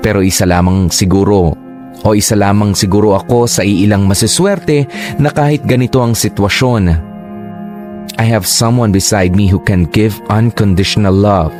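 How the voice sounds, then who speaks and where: An earnest man narrates his story in a scripted radio drama, reflective and steady. His voice sits a little low, and he slips English phrases into his talk. Soft music plays faintly underneath. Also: moderate pace.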